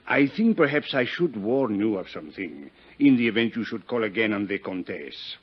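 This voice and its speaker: An actor playing an urbane, wry French police inspector with a husky voice and elaborate politeness, in a 1950s radio drama. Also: male voice, fairly low pitch, big pitch swings, moderate pace. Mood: sly, courteous. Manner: suave, droll, elaborately polite. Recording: dull, lo-fi 1950s radio recording.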